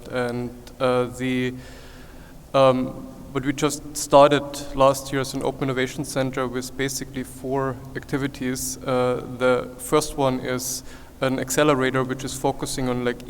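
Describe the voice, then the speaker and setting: A man with a foreign accent speaking as a panelist in a semi-formal way, haltingly explaining and listing points. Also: medium pitch. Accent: foreign, non-Korean accent. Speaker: panelist, corporate investor. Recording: noisy, reverberant conference hall.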